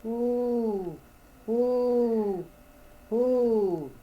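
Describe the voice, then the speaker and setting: A man imitating an owl hooting from high up in a tree, as part of a storybook reading. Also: drawn-out words, muffled recording, full character voice.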